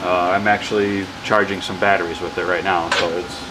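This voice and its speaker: A man casually explaining his wind turbine setup in a workshop, rambling and correcting himself with excitement. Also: low-pitched, a little fast. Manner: enthusiastic, rambling, self-correcting. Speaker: hobbyist wind power vlogger. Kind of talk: casual off-the-cuff demo narration.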